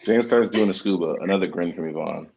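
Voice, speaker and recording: A man's voice: low-pitched, quick pace, vocal fry, darkish tone, breathy edge. A man reading out screenplay directions and speaking casually as the writer at a table read. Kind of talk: screenplay narration.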